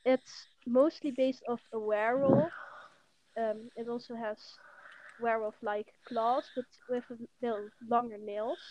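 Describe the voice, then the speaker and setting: A teenage girl from the Netherlands with a Dutch accent, a guest on a casual podcast who talks in an unhurried way with many ums. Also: a little high, darkish tone. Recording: dull, muffled audio.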